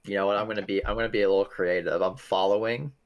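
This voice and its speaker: A man with a deep voice plays in an online tabletop game. He talks casually, laying out what his character does. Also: fairly even intonation, rapid-fire, dull audio. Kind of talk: casual chat.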